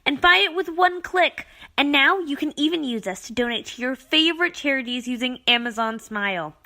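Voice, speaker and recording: A woman with a high, bright voice reads a scripted advertisement. The delivery is promotional, as a commercial voice-over. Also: a little fast.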